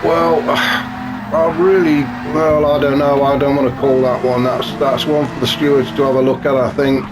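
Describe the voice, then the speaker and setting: Chatty race commentator with a cold. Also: male voice, medium pitch, flat delivery, moderate pace. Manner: chatty and wry, many fillers. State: gravelly and snuffly with a cold. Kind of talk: live sim racing commentary. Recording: noisy with car engine sounds behind.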